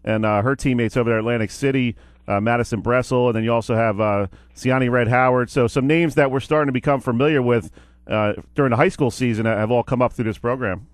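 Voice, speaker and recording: A man's voice: mid-range voice, fast talker, dry recording, clean studio sound. A man hosting a sports radio show, asking chatty questions with frequent fillers and restarts. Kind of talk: casual radio interview. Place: radio studio.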